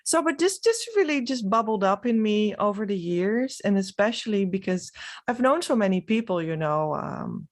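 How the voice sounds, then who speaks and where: A woman from the Netherlands, a native Dutch speaker with a Dutch accent, giving a reflective, unscripted vlog monologue with halting, trailing phrases. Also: medium pitch, very animated. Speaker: spiritual vlogger.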